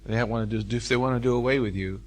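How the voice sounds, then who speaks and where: A man's voice: mid-range voice, steady tone, racing. An older man speaking to a live seminar audience in a hotel room, folksy and preacherly, repeating phrases for emphasis.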